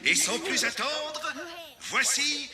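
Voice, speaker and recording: A man's voice: extremely high pitch, slow, heavily acted. A man voicing a courteous, ceremonious master of ceremonies in a French children's cartoon. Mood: welcoming, ceremonious. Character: formal master of ceremonies at a village film show. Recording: loud music behind the voice.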